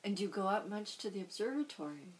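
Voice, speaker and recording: A woman's voice: medium pitch, lively intonation, quick pace, poor audio. A woman from California interviewing a local, asking short questions and offering her own impressions. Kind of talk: conversational interview.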